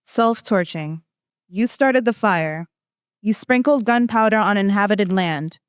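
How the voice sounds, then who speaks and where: A woman's voice: medium pitch, measured pace, clear, resonant tone, no room sound. A young woman, a student poet, delivering spoken-word poetry in an emphatic, accusatory manner. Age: young adult.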